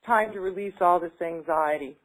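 A woman's voice: fairly low pitch, normal speed, slight background noise. A woman taking part in a tapping session, echoing phrases back and speaking hesitantly with many fillers when she talks freely. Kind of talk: repeating affirmations, then casual talk.

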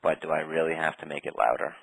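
A man guiding a tapping session in a calm, steady way, naming tapping points and saying short phrases for the other person to repeat. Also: very deep voice, gravelly, husky, dry recording, poor audio. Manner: calm, slow, leads phrases for repetition. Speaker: tapping practitioner.

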